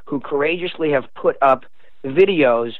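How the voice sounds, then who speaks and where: A man's voice: fairly high pitch, moderate pace, no room sound. Older man, phone-line sound, dry, earnest and emphatic, explanatory guest talk. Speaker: guest author. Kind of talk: explanatory radio interview talk. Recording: tinny narrow phone line.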